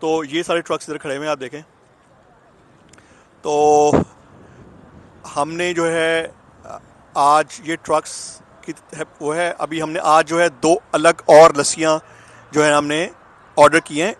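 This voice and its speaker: A man's voice: a little high, level tone, slow, pauses often. A man in his thirties casually reviewing food at an outdoor food truck park, savoring each bite and mixing Hindi with English, filmed on a handheld phone. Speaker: food vlogger. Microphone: handheld phone camera. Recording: outdoor street noise. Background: outdoor traffic and street ambience.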